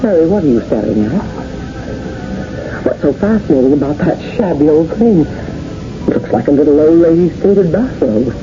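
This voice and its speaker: An actress playing a bossy, snobbish, nagging wife in a 1970s radio drama, with scolding, condescending delivery. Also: female voice, sits low, big pitch swings, normal speed, long pauses, background noise. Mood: impatient. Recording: muffled old radio with music behind.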